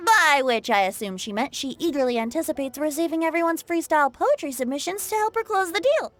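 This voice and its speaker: A woman's voice: sits high, expressive, a little fast, a bit bright, soft background music. A woman voicing a breezy, whimsical, teasing young male bard in a video game, playful and flowery in delivery.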